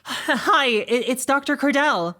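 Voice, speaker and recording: A woman's voice: sits high, lively intonation, takes it slowly, sharp tone, no echo, clean studio sound, exaggerated voice. A woman playing an anxious, persistent doctor who works in communications, hesitant and earnest in a naturalistic audio drama. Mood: anxious, pleading.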